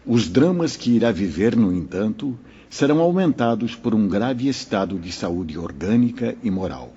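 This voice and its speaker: A wise old spirit teacher, voiced by a man, with a very breathy, husky voice and a warm, darkish tone. He teaches calmly and paternally, his low voice rising and falling with lively intonation over a slightly dull, lo-fi sound. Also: normal speed, full character voice. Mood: didactic. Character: wise elderly male spirit mentor. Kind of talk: audio drama acting.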